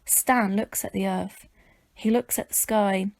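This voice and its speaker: A woman reading a children's story aloud as expressive narration, with a dramatic, measured delivery. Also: mid-range voice, vocal fry, no room sound.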